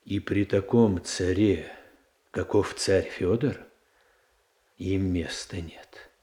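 An actor playing a stern, ambitious statesman in a classical verse radio drama, speaking in a deliberate, declamatory manner. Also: sits low, dark tone. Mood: driven, forceful. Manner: deliberate, weighty, declamatory delivery. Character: stern, ambitious, calculating statesman brother of the Tsarina. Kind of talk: classical verse tragedy in a Russian radio play.

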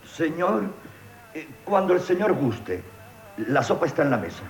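Meek smitten servant, Castilian accent, very lively intonation, halting and respectful, old radio comedy style. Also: male voice, fairly high pitch. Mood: deferential. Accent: Castilian Spanish. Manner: hesitant, deferential, starts with "eh". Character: timid, lovestruck manservant, deferential and long-suffering. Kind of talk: 1970s Spanish radio theatre acting.

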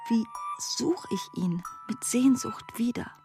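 A woman giving a literary reading of intimate letters in a soft, breathy, often near-whispered manner. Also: a little high, lively intonation, slow, over music.

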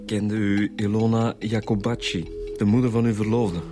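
An actor playing a polite, measured Flemish police detective in a radio drama, a little tentative. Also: male voice, fairly low pitch, level tone, music in the background, natural voice. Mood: probing, polite. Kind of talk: Dutch-language radio drama acting.

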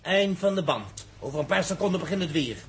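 An actor playing an impatient, excitable spaceship technician in a 1960s radio drama, agitated and often repeating himself. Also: male voice, fairly high pitch, a little fast, a little hiss or hum. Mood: scornful, eager. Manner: repetitive, agitated. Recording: rough early 1960s radio recording.

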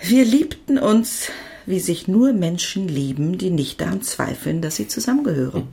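A woman's voice: on the low side, lively intonation, moderate pace, gravelly, warm tone. An actress playing a devoted woman doctor who tells of her love in a reflective, intimate radio drama monologue. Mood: reflective, tender.

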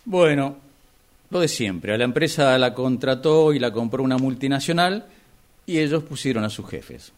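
A man's voice: medium pitch, expressive, normal speed, close to an everyday voice. An Argentine man acting in a radio drama as a humbled former boss, hesitant and pleading for work. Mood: defensive, weary. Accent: Argentine, Rioplatense. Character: humbled older former boss begging for a job.

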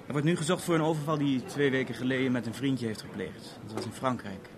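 An actor in a 1970s radio drama playing an urbane, wry French police officer who speaks in measured, formal, dryly ironic sentences. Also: male voice, medium pitch, lightly acted. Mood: businesslike. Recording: noisy old radio play recording.

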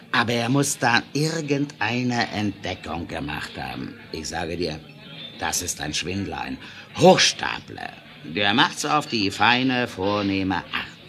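An actor playing a reformed Wild West bandit in a 1970s German audio drama, gossiping in a folksy, conspiratorial way. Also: male voice, medium pitch, pushed and bright, exaggerated voice. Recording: noisy old vinyl radio-play recording.

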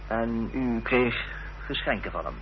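A man's voice: mid-range voice, animated, unhurried. Formal male investigator, muffled radio drama. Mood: formal, probing. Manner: formal, probing questioning. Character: polite, persistent male investigator questioning a witness. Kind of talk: Dutch radio play acting. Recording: dull old radio recording with hiss and hum.

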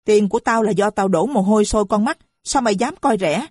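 A woman voicing a shrill, boastful, foul-mouthed middle-aged mother who screeches her abuse. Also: normal speed, darkish tone, dry recording, clean studio sound, close to an everyday voice. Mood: screaming.

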